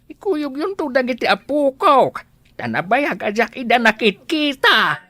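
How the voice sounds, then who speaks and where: A woman's voice: sits high, very animated, moderate pace, sharp tone, no echo, heavily acted. A woman acting a grateful, elderly grandfather in a comedy drama. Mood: grateful, agreeable. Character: grateful old grandfather collecting his pension.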